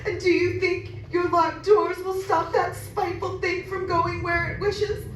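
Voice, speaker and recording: An anguished, terror-stricken heroine of 1930s radio horror, acted by a woman. Her voice is high and melodramatic. It carries from a distance in a very echoey room with clear background noise. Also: little pitch movement, measured pace. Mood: horrified. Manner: dramatic, anguished. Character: terrified, overwrought young wife haunted by horror.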